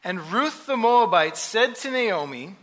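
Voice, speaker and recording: A man preaching a sermon in short phrases, pausing so an interpreter can translate. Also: high voice, very animated, fairly bright tone. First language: English. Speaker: pastor. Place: church sanctuary.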